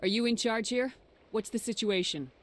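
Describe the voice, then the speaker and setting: An actor playing a direct, businesslike military commander in a space adventure video game. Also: male voice, sits high, normal speed, background noise, lightly acted.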